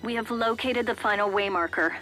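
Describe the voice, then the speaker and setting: An actress playing a businesslike corporate aide in a video game, with a formal manner. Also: female voice, on the high side, brisk, lightly acted, poor audio. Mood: brisk. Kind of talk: English video game voice acting. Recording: music under the voice.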